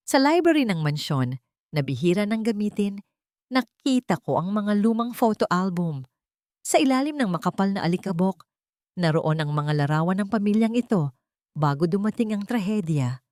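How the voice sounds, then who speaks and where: A woman's voice: fairly low pitch, a little mellow, dry recording, studio quality. A woman reading a scripted dramatic story in the first person, as an audiobook narrator.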